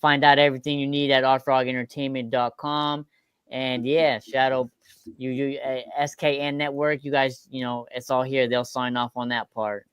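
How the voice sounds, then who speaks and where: A man speaks as a guest in an even, level tone. His voice is clear and smooth, recorded dry, with a casual promotional delivery. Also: mid-range voice, moderate pace, no echo. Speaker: show guest.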